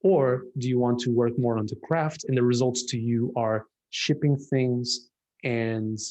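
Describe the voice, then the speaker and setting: A man's voice: mid-range voice, steady tone, measured pace, a little mellow. A man from Portugal with a Portuguese accent, a designer talking reflectively in a casual conversation with a colleague, often restarting his sentences.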